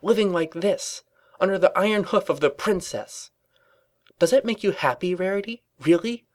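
A man's voice: high-pitched, big pitch swings, extremely slow, a bit bright, no echo, voice pushed up, full character voice. A man voicing a paranoid, secretly rebellious female pony office worker in an urgent, melodramatic story reading. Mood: agitated, pleading.

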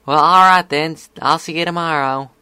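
A caring, excitable little filly played by a man, pitched well above his normal talk. She is a young storybook pony, earnest and eager, recorded dry. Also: moderate pace, clear, resonant tone, dry recording. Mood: friendly.

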